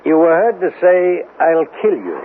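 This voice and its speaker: An actor playing a sceptical police interrogator, probing and accusatory, in a 1970s radio drama. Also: male voice, a little high, sing-song, normal speed. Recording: noisy phone-like old radio drama recording.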